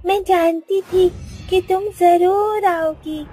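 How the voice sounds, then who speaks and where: A lonely little village girl in a cartoon, voiced by a woman, with a very high, childlike voice. She speaks slowly and pleads in a trusting way, with soft music behind. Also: fairly even intonation. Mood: glad.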